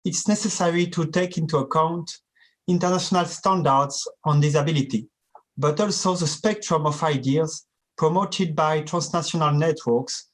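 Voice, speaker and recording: Careful man reading in non-native English. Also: a little high. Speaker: academic presenter. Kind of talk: reading a conference paper.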